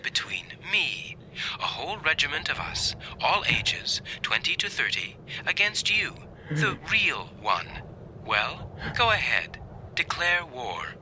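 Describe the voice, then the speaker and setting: A young man's recorded self in a radio drama, cruel and coolly mocking. The delivery is theatrical, with big swings of pitch, on a clearly noisy, hissy recording. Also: big pitch swings, slow pace, pushed and bright, exaggerated voice. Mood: challenging. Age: 20s. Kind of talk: old-time radio drama acting.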